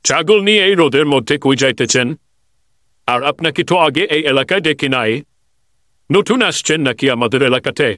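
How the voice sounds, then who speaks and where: A man's voice: medium pitch, lively intonation, moderate pace, fairly bright tone, dry recording, clean studio sound, lightly acted. A man voicing a curious, friendly villager who haggles as a buyer in a comedy cartoon, inquisitive and coaxing.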